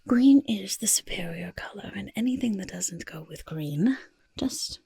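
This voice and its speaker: A woman voicing a boastful, evasive goblin potion-maker in soft-spoken, breathy ASMR roleplay, fussing over the listener and hesitantly backpedalling. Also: medium pitch, animated, a little slow, dry recording, exaggerated voice. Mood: fussily soothing. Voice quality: breathy, husky near-whisper. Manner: refers to self in third person, hesitant backpedalling.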